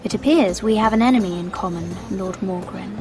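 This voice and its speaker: Young noblewoman, played by a woman, fantasy game drama, shrewd, courteous, measured, medium pitch, music underneath. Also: close to an everyday voice. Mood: probing, persuasive. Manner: polite, measured, probing. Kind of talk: fantasy video game acting. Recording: music behind the dialogue.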